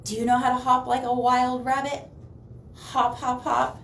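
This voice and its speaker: A woman's voice: high voice, level tone, normal speed, vocal fry, fairly bright tone. A woman storyteller reading a children's picture book aloud expressively, with playful questions to listeners. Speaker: library storyteller. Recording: echoey room, distant mic, faint noise.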